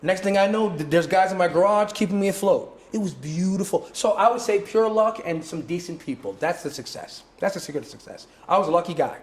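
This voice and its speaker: A middle-aged man from Jamaica tells stories in an interview, his voice sitting a little high for a man. He keeps acting out other people's lines in shifting voices and often restarts his sentences. The room around him sounds echoey. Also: expressive, a little fast, few pauses. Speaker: martial arts instructor and guest. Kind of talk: animated storytelling in conversation.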